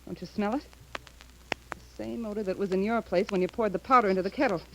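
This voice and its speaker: Actress as high-strung scheming widow, old radio. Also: female voice, quick pace, fairly bright tone, lightly acted. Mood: curt, suspicious. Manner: melodramatic, accusing. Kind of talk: 1930s radio horror melodrama. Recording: faintly noisy old radio recording.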